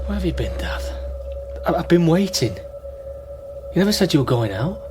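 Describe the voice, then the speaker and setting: A worried, caring son in a radio play. His fairly high voice moves widely in pitch, with halting, earnest phrasing and music underneath. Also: very animated. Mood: worried, pleading. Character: anxious, caring man, a troubled father's son. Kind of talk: naturalistic radio drama acting.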